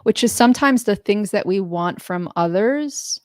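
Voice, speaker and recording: A woman hosts a relaxed interview in a mid-range voice, with a dry, close sound and no room in it. She talks in an animated way, nodding along and playing out little quoted lines. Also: medium pitch, moderate pace, no room sound. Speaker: podcast host. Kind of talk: casual interview chat.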